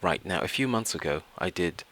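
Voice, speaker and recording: A man presenting an informal software tutorial, explaining things step by step with measured asides. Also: deep voice, expressive, loud music behind the voice.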